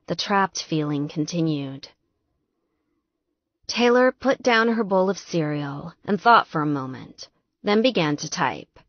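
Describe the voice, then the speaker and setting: A woman narrating an audiobook novel in an expressive, scripted storytelling style. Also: on the low side, measured pace, dry recording, highs cut off.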